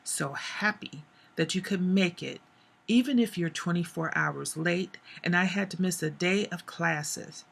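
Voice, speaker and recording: A woman reading aloud as a bitter young man who snaps and accuses, his lines cold and curt. The voice is hoarse and gravelly, bright but husky, a storybook read with little change from the reader's own tone. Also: on the low side, a little slow, full character voice. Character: angry young man, bitter and sharp-tongued.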